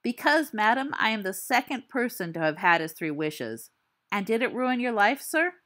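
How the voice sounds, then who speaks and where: A woman's voice: fairly high pitch, big pitch swings, moderate pace, fairly bright tone. A woman voicing a teenage boy wizard who tells a ghost story with theatrical flair and dry asides. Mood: storytelling. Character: teen boy wizard spinning a spooky tale.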